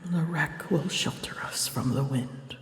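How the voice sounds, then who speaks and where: A woman voicing a prickly, proud ancient dragon with guarded tenderness in an ASMR roleplay, speaking formally and haltingly, often dropping toward a whisper. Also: deep voice. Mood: quiet, deliberate. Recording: echoey, reverberant, faint background ambience.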